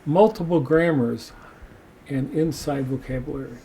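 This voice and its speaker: Mellow-voiced man reading from a book. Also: a little high, slight background noise. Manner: measured, reads passages aloud. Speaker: podcast host. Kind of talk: reading aloud from a book and discussing it.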